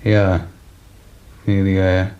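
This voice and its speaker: A man's voice: low-pitched, takes it slowly. An actor playing a broken, obedient male serf in a 1950s German radio drama, answering in a subservient way on a muffled, noisy old recording. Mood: subdued, obedient. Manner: flat, subservient replies.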